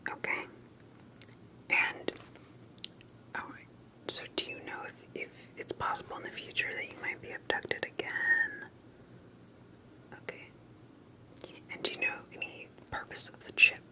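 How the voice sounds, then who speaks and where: A woman's voice: very high-pitched, animated, poor audio. Whispering woman in an ASMR interview roleplay. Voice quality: breathy, unvoiced whisper. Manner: gentle, hesitant, unhurried questioning with soft pauses. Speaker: ASMR performer playing an interviewer.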